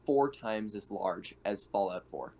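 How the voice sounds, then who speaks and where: A man's voice: on the low side, expressive, unhurried, darkish tone. A man playing a tabletop game, talking casually as one of the players. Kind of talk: casual game-session chat.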